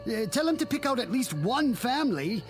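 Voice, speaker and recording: A man's voice: extremely high pitch, sing-song, moderate pace, soft background music. A male actor in a radio drama playing a desperate young father who pleads urgently and stammers for his family. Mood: agitated.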